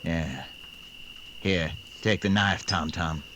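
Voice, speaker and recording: An actor playing a coolly menacing western outlaw who gives slow, clipped orders in a radio drama. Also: male voice, deep voice, pushed and bright, slight background noise, heavily acted. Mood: cool threat.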